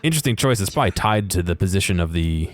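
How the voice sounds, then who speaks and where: Low-voiced man giving casual game commentary. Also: expressive, quick pace, soft background music. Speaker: video narrator.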